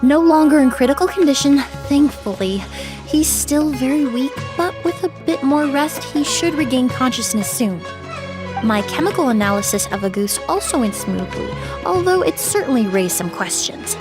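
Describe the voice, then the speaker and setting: A woman voicing a young perfumer and forensic investigator in a video game, calm and precise as she explains her work. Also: high voice, moderate pace. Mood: composed. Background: game music.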